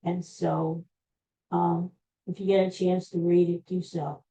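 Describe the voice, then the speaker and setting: A woman's voice: on the low side, flat delivery, measured pace, dark tone, slight background noise. A middle-aged American woman hosting a podcast, chatting casually in a rambling, folksy, conversational way and trailing off now and then. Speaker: podcast host. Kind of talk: casual rambling solo chat. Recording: dull lo-fi recording.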